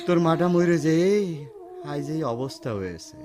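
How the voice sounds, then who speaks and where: An actor playing an aging, tender and sorrowful village father in a Bengali radio drama, with a rural Bangladeshi accent and drawn-out, emotive speech. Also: fairly high pitch, very animated, crowd noise. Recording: noisy radio drama recording with clicks.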